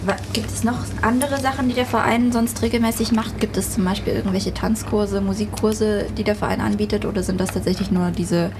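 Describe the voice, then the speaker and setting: A woman's voice: medium pitch, fairly even intonation, fairly quick, few pauses, background noise. A young adult woman interviewing, asking curious, probing questions.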